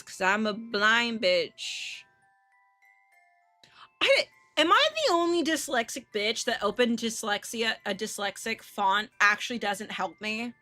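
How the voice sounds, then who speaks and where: A woman livestreamer with a bright, forward voice talks casually and bluntly as she hosts a game playthrough. Her delivery is animated and irreverent, moving between chat and reading aloud. The sound is close and dry, with music behind her. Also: medium pitch, no room sound. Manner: foul-mouthed, irreverent, blunt. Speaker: live streamer hosting a visual novel playthrough.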